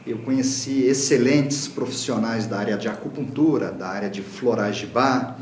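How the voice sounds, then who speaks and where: A man's voice: mid-range voice, normal speed, darkish tone. Brazilian guy giving a talk, earnest, bit of a stop-start flow, roomy echo w faint hum. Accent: Brazilian Portuguese. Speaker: lecturer, Reiki teacher. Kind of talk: public talk. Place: lecture room.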